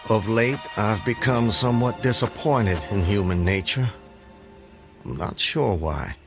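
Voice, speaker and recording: Casting: courteous, wary runaway in audio drama; lowish voice, formal, hesitant, unsure of himself. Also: male voice, unhurried, music in the background. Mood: wary, polite. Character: bewildered, wary, courteous man on the run. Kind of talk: radio drama acting.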